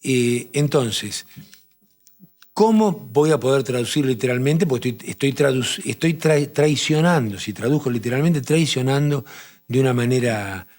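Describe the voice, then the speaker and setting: A man with a Rioplatense accent explaining poetry and translation in a measured talk-show conversation, often restarting his phrases. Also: medium pitch, animated, darkish tone. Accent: Rioplatense Spanish. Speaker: literature professor on a TV talk show.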